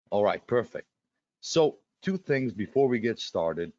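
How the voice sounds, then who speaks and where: Russian-accented guy running a live webinar, really low voice, kinda muffled audio, lots of uh and restarts. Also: very animated, slow pace, darkish tone, no echo. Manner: chatty, many fillers and restarts. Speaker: webinar host.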